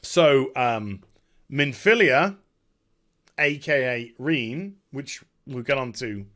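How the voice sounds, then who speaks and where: A man hosting a gaming video, giving a casual, unscripted recap in a halting, digressive way and often correcting himself. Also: medium pitch, expressive, a bit bright.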